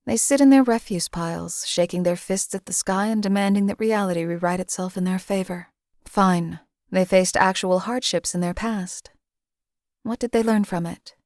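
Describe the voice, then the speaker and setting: A woman's voice: mid-range voice, a little mellow, no room sound, studio quality, natural voice. A woman voicing a cold, contemptuous hired assassin in a game, speaking in a formal, clipped, disdainful way. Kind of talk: game character voice lines.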